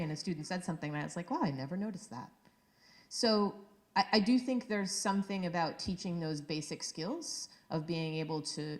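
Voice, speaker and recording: Low-voiced woman teacher giving a talk, big voice, eager, lots of ums, handheld mic. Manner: energetic, enthusiastic, teacherly with many fillers. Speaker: high school history teacher, guest speaker. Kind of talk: public talk to an audience. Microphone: handheld microphone held low.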